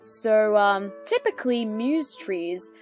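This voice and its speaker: A woman's voice: medium pitch, lively intonation, slow. A woman hosting a casual question-and-answer session, talking in a hesitant, rambling, lightly amused way. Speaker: YouTube host answering fan questions. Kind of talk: casual Q&A chat. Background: soft music.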